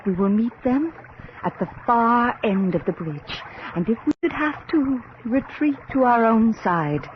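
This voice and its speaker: Slow, ominous witch, muffled recording. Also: a little high, animated. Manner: slow, deliberate, ominous incantation and warning. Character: solemn, eerie witch conducting a dangerous night-time séance. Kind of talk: 1980s radio horror drama acting. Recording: dull, noisy old radio drama tape.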